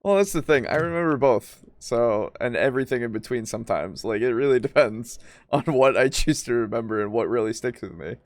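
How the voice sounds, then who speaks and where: A man's voice: mid-range voice, lively intonation, brisk, no echo. Casual man with a close, dry sound. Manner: informal, frequently swearing. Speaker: podcast co-host and game master. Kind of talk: casual roleplaying discussion chat.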